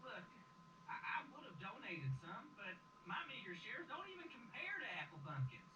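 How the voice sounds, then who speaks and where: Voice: medium pitch, wildly swooping pitch, moderate pace. An actor playing a nervous, evasive country pony in an audio drama, stammering and hesitant, through distant, echoey, very noisy playback. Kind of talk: fan audio drama acting.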